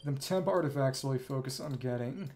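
A man's voice: mid-range voice, fairly quick, dark tone. Young man thinking aloud while gaming. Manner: rambling, thinking aloud to himself. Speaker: video game streamer. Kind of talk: casual gameplay commentary. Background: game music and effects.

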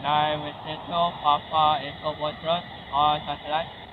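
A middle-aged man calls as a radio operator, in a fairly high voice. His phrases are short and procedural, often spelled out phonetically. The sound is tinny and narrow, with clear noise outdoors. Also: little pitch movement, a little slow. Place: outdoors in a park under trees.

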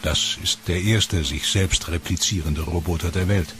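A man narrating a documentary from a script in a measured way, slowing down and dropping his voice on the ominous lines. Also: low voice, fairly even intonation. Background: documentary music score.

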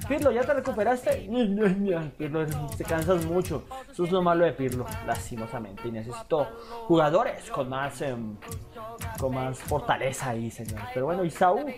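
Lively young gamer, faint music. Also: male voice, fairly high pitch, moderate pace. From Colombia. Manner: animated, keeps addressing viewers as señores. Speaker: gaming YouTuber. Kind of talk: casual gameplay commentary.

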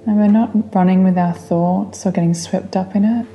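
A woman guiding a meditation in a gentle, soothing, unhurried way, speaking in calm instructions. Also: medium pitch. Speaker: meditation guide. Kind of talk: guided meditation. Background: relaxing music.

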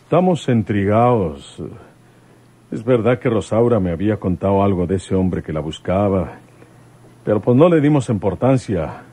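Deep, raspy father. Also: expressive, normal speed, dark tone. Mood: troubled, courteous. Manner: folksy rural turns of speech. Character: rustic, sober older father of a grown son. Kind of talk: radio drama acting.

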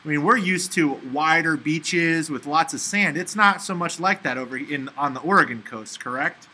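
A man interviewing university representatives and repeating the questions briskly. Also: a little high, bright, forceful tone, slightly rough.

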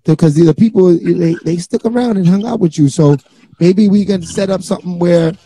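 A man's voice: high voice, moderate pace, dark tone. A middle-aged man, hosting a casual live-stream interview with joking banter, on a studio mic in a small home studio. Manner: joking, laid-back banter. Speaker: host. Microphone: handheld studio mic on a stand.

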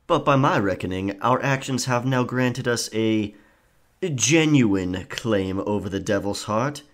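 A man acts out a roguish male pirate in an ASMR roleplay. He speaks slowly and theatrically, drawing words out with a sly, cocky charm. The delivery is taunting, like a swaggering bargainer at sea. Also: mid-range voice, natural voice.

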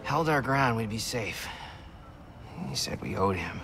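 A disillusioned, weary villager in a game drama. His slow voice is gravelly, and music plays behind it. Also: medium pitch, lively intonation. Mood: bitter, weary. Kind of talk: dramatic video game acting.

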